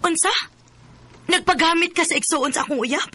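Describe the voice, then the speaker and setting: A woman's voice: very high-pitched, normal speed, noisy background. A woman with a Bisaya Filipino accent playing a frank, worldly older sister in a radio drama. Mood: shocked. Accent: Bisaya (Cebuano) Filipino.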